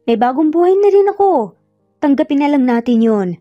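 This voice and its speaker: A woman's voice: high voice, animated, moderate pace, lo-fi sound, soft background music, pitched above the performer's own voice. A woman with a Filipino accent voicing a guarded, hurt young woman who answers curtly and evasively in a narrated romance. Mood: hurt, resigned.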